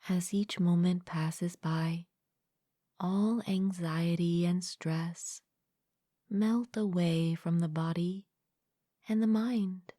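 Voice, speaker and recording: Slow, soft, breathy woman guiding meditation. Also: on the low side, dry recording, studio quality. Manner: gentle, calm, unhurried with long pauses. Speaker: meditation guide, mindfulness coach. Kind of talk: guided meditation, soothing scripted narration.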